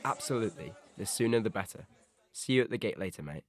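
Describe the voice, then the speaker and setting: Young man playing a low-voiced, slangy teenage skater. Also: expressive, quick pace. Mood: upbeat, casual. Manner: casual, slangy. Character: laid-back teenage skater boy missing his best friend. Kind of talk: scripted audio drama dialogue.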